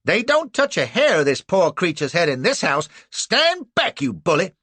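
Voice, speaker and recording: Feisty small matron, shrill and commanding, voiced by a male reader. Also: sing-song, normal speed, pushed and bright, breathy edge, dry recording, exaggerated voice. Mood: fierce. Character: small fierce protective older woman.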